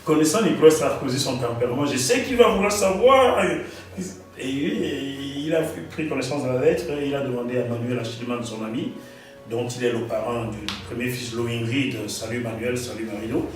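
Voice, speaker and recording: A man's voice: medium pitch, fairly quick. Man telling his story. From Cameroon. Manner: animated, repeats phrases for emphasis. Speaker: guest author. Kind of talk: storytelling interview. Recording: echoey room, distant mic, faint music.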